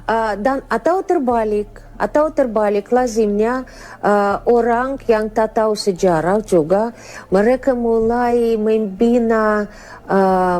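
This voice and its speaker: A woman's voice: medium pitch, darkish tone. A woman speaking in a discussion, thoughtful and agreeing, with frequent hesitations. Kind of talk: discussion interview.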